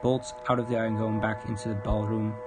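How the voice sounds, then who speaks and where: A man's voice: lowish voice, flat delivery, brisk. A man livestreaming a visual novel, reading narration aloud informally with stumbles and casual commentary. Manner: informal, stumbling over read text. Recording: game music clearly behind voice. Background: game music.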